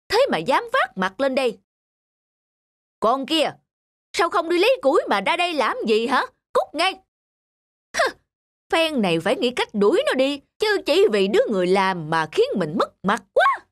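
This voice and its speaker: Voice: high-pitched, wildly swooping pitch, moderate pace, fairly bright tone, full character voice. A performer voicing a cruel, haughty, imperious master who scolds a servant in a fairy-tale cartoon. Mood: angry, contemptuous.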